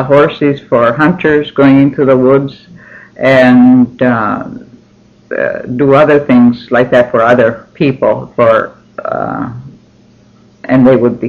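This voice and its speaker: An older man whose first language is Finnish, recalling his childhood slowly in an oral history interview, with many pauses and restarts. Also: mid-range voice. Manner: slow, halting, many ums and restarts. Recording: dull lo-fi recording.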